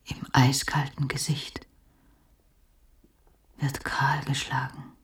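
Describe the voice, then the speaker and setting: An actress playing a fragile, fearful, trancelike patient in a German radio drama, halting and whispery. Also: female voice, extremely low pitch, monotone, recorded from a distance. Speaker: actor.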